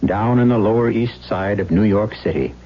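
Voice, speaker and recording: A man hosting and narrating a radio drama in a measured, dramatic, scripted 1970s style. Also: on the low side, slight background noise. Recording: muffled old radio broadcast with music.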